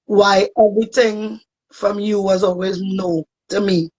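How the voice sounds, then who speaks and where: A woman's voice: medium pitch, steady tone, measured pace, a little mellow, husky. A woman with a Trinidadian accent speaking earnestly and reflectively as a guest in a casual interview. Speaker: soca singer guest.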